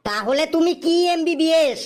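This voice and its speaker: A man's voice: very high-pitched, expressive, moderate pace. A man voicing a self-important doctor in a comic cartoon, formal, questioning and easily affronted. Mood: puzzled, affronted. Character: self-important male doctor interviewing a job applicant.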